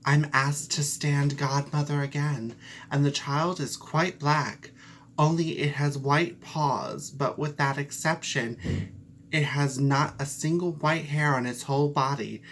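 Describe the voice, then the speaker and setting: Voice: medium pitch, steady tone, measured pace, a little hiss or hum, close to an everyday voice. A performer voicing a sly, greedy, domineering female cat in a storybook, cool, smug and dismissive. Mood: wheedling. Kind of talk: storybook character voice.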